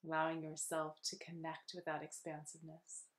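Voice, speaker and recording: A woman leading a guided meditation in a soothing, unhurried, gently instructive way. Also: low-pitched, monotone.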